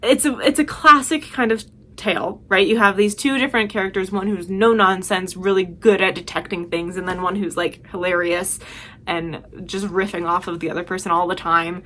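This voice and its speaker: Bright-voiced woman gushing in a vlog. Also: mid-range voice, fairly even intonation, normal speed, a little hiss or hum. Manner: gushing, emphatic, chatty. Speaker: video host. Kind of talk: casual enthusiastic recommendation vlog.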